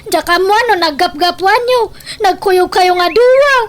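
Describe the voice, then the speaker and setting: A woman's voice: extremely high pitch, normal speed. A woman with an Ilocano accent playing a jealous, suspicious, nagging wife in a comedic radio drama, whiny and accusatory. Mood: tearful, jealous. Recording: faint background noise.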